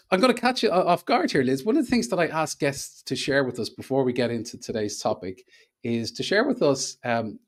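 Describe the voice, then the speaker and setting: Lively-pitched male podcast host. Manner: halting, many filler "uh"s, friendly questions. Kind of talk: interview hosting.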